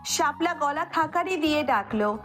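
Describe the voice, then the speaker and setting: A woman's voice: sits high, animated, moderate pace, a bit bright. A woman narrator reading a scripted romantic story. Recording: music bed under the voice.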